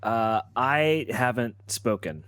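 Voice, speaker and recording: A man improvising a first-person story as a role-player, halting and often repeating his words. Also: mid-range voice, animated, fairly bright tone, no room sound.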